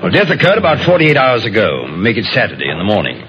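A man playing a brisk, clinical police doctor in a 1950s radio drama. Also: lowish voice, huge pitch swings. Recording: dull, noisy old radio recording.

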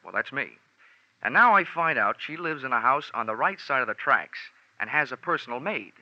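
A dull vintage radio recording carries a sardonic, flippant investigator. His voice is mid-pitched and very sharp and forceful, delivered in classic 1940s detective drama style. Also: lively intonation, normal speed, dry recording. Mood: questioning. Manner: wry, sardonic. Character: wry, flippant male freelance insurance investigator. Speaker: lead actor and narrator. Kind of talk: 1940s radio detective acting, wry first-person narration.

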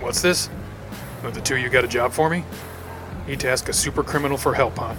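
A man playing a gruff super-villain. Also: fast talker, lightly acted. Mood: gruff, dismissive. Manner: blunt, dismissive, low drawl. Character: gruff male super criminal, cold-gun heist villain. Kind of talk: audio drama acting. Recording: music behind the voice.

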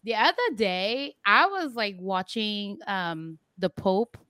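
A woman hosting a podcast, chatting casually and telling stories in an animated way. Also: mid-range voice, slow, dry recording. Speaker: podcast host.

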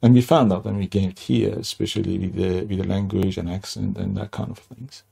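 An older man from Iran with a Persian accent, telling his life story as an interview guest in a halting way, with many restarts. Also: sits low, steady tone, vocal fry, warm tone, breathy edge.

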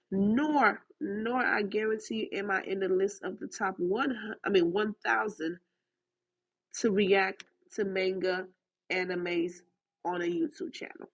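A woman with a mix of Haitian and Southern US accent talks straight at her listeners in an emphatic, motivational podcast style. Her voice is a little husky and sits at an ordinary pitch. She drives her points home by repeating them. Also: a bit bright, rough recording. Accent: Haitian and Southern US blend. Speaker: podcast host. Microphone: recorded through a voice chat app.

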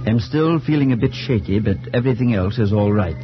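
An actor playing a steady, concerned man who narrates a supernatural tale and acts in it, in earnest, firm 1940s radio style. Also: mid-range voice, a little fast, noisy background, natural voice. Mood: grave, measured. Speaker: narrator and friend. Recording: muffled 1940s radio recording.

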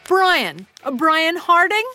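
A proper, courteous mother of middle age in a radio play. Her high voice rises and falls freely, staying crisply formal and quietly determined. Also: sing-song, takes it slowly, soft background music, full character voice. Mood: polite, flustered. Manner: polite, prim, crisply formal. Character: polite, proper, determined conservative middle-class English mother. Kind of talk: audio drama acting.

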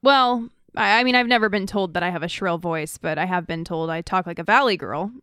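A woman's voice: fairly low pitch, a little fast, a bit bright, clear, resonant tone, dry recording, studio quality. A woman co-hosting a podcast in casual political chat, laid-back and a little hesitant, easily amused. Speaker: podcast co-host.

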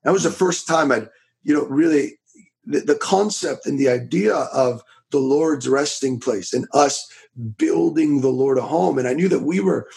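A man in his thirties from Florida, a preacher telling his story in a casual interview, with a raspy voice, talking loud and fast with many restarts. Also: on the high side. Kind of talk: casual testimony in a podcast interview.

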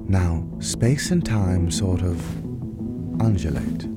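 Earnest male scholar for radio play. Formal, hesitant, animated intonation, music bed. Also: low voice, slow. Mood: earnest explaining. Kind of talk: audio drama acting.

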